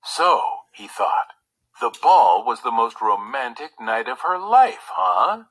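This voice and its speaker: A pampered, self-doubting young prince from a storybook, acted out by a man reading aloud. He sounds sorrowful and sulky, exclaiming plaintively with animated pitch and a slightly rough, husky tone. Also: slow pace, exaggerated voice, poor audio. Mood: indignant, wounded.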